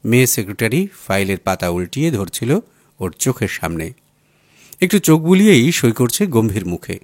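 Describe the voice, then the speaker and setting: A man narrating a literary story as an audiobook reader, with expressive delivery. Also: mid-range voice, moderate pace, no room sound.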